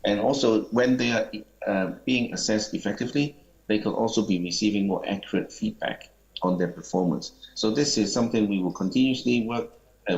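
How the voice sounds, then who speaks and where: A man with a fairly low voice speaks as a presenter taking questions at a seminar. He explains things politely and in a measured way, and the recording sounds somewhat dull. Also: darkish tone. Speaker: company speaker answering audience questions.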